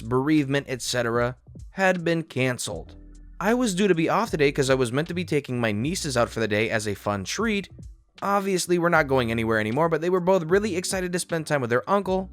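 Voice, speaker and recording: Male narrator, slightly raised pitch, steady scripted storytelling over a light music bed. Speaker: narrator reading online stories.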